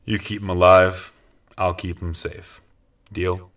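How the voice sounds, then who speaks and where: A man playing a low-voiced, wry vigilante detective. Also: level tone, slow pace, a bit bright, recorded from a distance. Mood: confident, dry. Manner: sardonic, casual. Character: cocky, wry vigilante detective without powers. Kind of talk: comic superhero audio drama acting.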